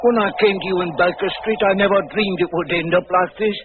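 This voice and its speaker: An actor playing an earnest, very tall sideshow giant in 1940s radio drama style, sincere and a little halting. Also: male voice, high voice, steady tone, natural voice. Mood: grateful, earnest. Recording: noisy, tinny old radio recording.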